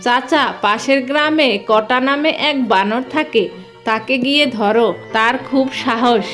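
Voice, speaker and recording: High, slow-spoken earnest village girl voiced by a woman. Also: fairly bright tone, soft background music.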